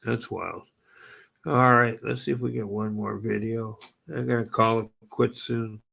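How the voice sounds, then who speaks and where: Gravelly, husky-voiced man chatting live. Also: medium pitch, measured pace. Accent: Canadian. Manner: rambling, excitable, exclaims often. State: voice going hoarse. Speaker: live stream host. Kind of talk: casual live stream chat.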